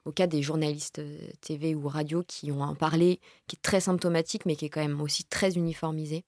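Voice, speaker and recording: A woman's voice: low voice, little pitch movement, fairly quick, darkish tone, no room sound, studio quality. A woman interviewer asking long, carefully worded questions.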